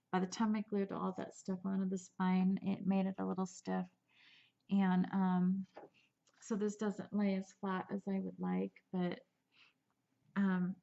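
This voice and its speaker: Woman, chatty craft show-and-tell, gravelly voice, level even tone, dull muffled sound. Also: mid-range voice. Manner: chatty, rambling, with small laughs and restarts. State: sniffly from allergies. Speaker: crafter showing a handmade album.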